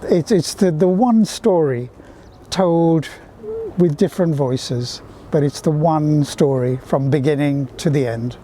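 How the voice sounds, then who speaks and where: A middle-aged man from Fiji, answering interview questions in a reflective, measured way. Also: a little high, mellow, a little hiss or hum.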